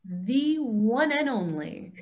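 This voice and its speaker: A woman acting as an assured, wise mentor with a fairly high voice. She teaches with deliberate emphasis in a staged dialogue read over a video call. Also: very animated. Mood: knowing, teasing.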